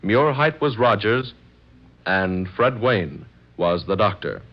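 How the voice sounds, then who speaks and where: A man announcing a radio programme from a script in a formal, measured old-time style, on an old recording. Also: fairly low pitch, expressive, highs cut off.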